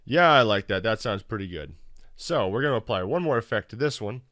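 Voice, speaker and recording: A man with a fairly low voice hosts a software tutorial. He talks informally, with a wry, self-deprecating manner. The sound is dry and close, with little room around it. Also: lively intonation, fairly quick, dry recording. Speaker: tutorial host. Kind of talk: casual software tutorial narration.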